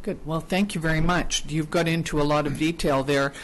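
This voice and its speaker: A man's voice: fairly high pitch, steady tone, a little fast. A man politely and procedurally chairing a public hearing in a council chamber.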